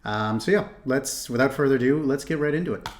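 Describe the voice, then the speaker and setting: A man's voice: mid-range voice, fast. Chatty man reacting to videos. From Canada (Ontario). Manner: chatty, thinks aloud with restarts. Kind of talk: casual commentary.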